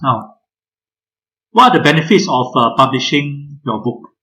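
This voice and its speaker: Man, 50s, guest webinar speaker; measured, hesitant, mid-pitched. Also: expressive, bright, forceful tone. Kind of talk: online webinar presentation.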